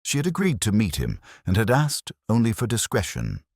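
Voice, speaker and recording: A man narrating a first-person detective story in a measured, solemn, literary manner. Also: fairly low pitch, huge pitch swings, no echo, studio quality.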